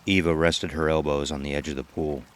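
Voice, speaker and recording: A man narrating a scripted horror story in a measured, literary way, with water sounds behind him. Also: a bass voice, little pitch movement.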